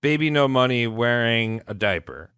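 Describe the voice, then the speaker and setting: A man co-hosting a comedy podcast, animated and crude in his mockery. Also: medium pitch, clear, resonant tone, no room sound, studio quality. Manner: animated, crude, quick to mock. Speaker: podcast co-host. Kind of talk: casual comedic podcast banter.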